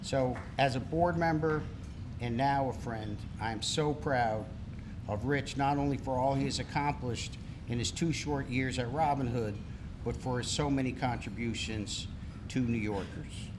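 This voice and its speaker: Presenter, man, measured prepared remarks, mid pitch, clear background noise in the hall. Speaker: board member presenting an award. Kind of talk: prepared introduction speech. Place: gala banquet hall.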